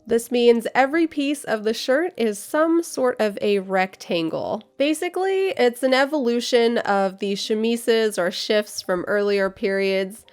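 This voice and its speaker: A woman's voice: on the high side, lively intonation, measured pace, few pauses, clean tone. A young woman with an American accent, giving a scripted, earnest explanation of a historical sewing project. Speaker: costume maker.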